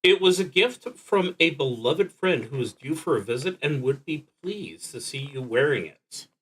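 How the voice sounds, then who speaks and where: A man livestreaming a solo roleplaying game, thinking aloud in an unscripted, deliberative way with frequent pauses and restarts. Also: on the high side, lively intonation, a bit bright, slightly rough, low-quality recording. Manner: halting, deliberative, often trailing off and restarting.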